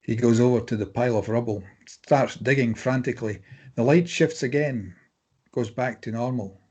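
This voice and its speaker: Husky male stage-direction narrator. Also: medium pitch, moderate pace, darkish tone. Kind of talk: scripted dramatic narration in present tense.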